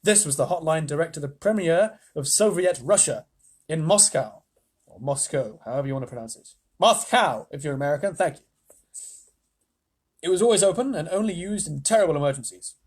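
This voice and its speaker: A man reading a children's book aloud on a live stream, with lively asides. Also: on the high side, fairly quick, slightly rough. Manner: lively, self-interrupting asides. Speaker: reader.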